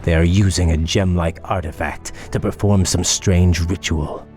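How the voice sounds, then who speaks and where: Elven prince ally for a fantasy game: deep, polite, formal, measured, light music behind. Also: male voice, steady tone, darkish tone. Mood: formal, informative. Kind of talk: dramatic game cutscene acting.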